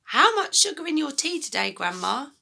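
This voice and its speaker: A woman's voice: sits high, big pitch swings, normal speed, vocal fry, pushed and bright, voice pushed up, heavily acted. A woman voicing a polite, put-upon eight-year-old boy in a children's storybook, dutiful and meekly protesting.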